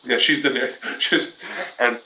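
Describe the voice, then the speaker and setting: A man's voice: medium pitch, steady tone, measured pace, pushed and bright. A young man streaming a game, chatting casually with a crude, teasing humor and laughing at his own jokes. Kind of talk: casual joking chat while gaming. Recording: roomy, distant, noisy home stream.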